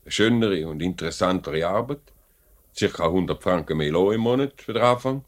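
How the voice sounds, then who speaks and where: An actor playing a self-interested factory director in a 1950s radio play, brisk, persuasive and condescending, with a Swiss German accent. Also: male voice, deep voice, animated. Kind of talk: mid-century radio play acting. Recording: vintage 1950s radio drama recording.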